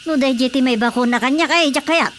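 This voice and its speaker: An actress playing an earnest, inquisitive young Filipino village daughter in a radio drama. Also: female voice, sits high, brisk, a little hiss or hum, lightly acted. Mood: questioning. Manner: questioning, earnest.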